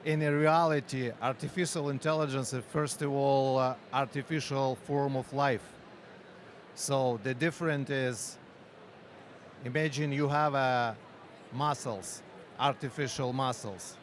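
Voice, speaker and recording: Ukrainian guy explaining his startup, slow halting english, lots of uhs, faint traffic behind. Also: mid-range voice, steady tone. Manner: halting non-native English, frequent pauses and fillers. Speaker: company founder and researcher. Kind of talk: conference interview. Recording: faint street and vehicle noise.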